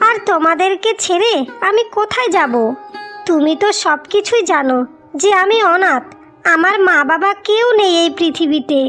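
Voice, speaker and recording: A meek, sorrowful young village orphan voiced by a woman with a very high voice. She pleads plaintively in cartoon style, with music underneath. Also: moderate pace, a bit bright. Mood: hurt, pleading. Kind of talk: dramatic cartoon voice acting.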